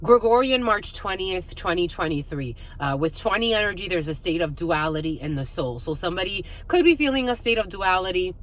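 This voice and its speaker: A woman's voice: on the low side, animated, fairly quick, hardly any pauses. A woman hosting an intuitive spiritual reading, talking casually and off the cuff, rambling and repeating her phrases with "like" thrown in often.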